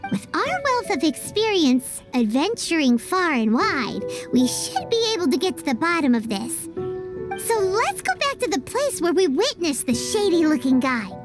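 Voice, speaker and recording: An actress voicing a small, childlike fairy companion in a video game, chirpy, squeaky and bossy. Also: female voice, sing-song, normal speed. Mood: eager, scheming. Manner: exclamatory, refers to self in third person. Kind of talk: video game character voice acting. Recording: game music behind the voice.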